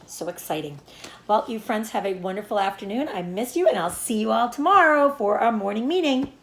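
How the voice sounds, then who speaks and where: A woman reading a children's book aloud as a teacher, in an animated storytelling manner. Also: mid-range voice, brisk, reverberant. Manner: animated storytelling with acted dialogue.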